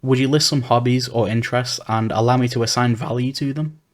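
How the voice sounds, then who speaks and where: A man's voice: mid-range voice, fairly even intonation, a little fast, lightly acted. A man voicing a formal, socially awkward alien scientist in an audio roleplay, with precise, analytical phrasing. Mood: detached, curious. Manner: stiff, precise, bookish phrasing.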